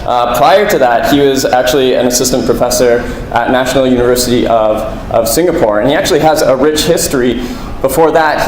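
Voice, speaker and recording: A man acts as host, introducing a guest speaker at a talk. His voice sits at a middle pitch. The room adds clear echo, with faint noise underneath. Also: a little mellow. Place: room with live audience.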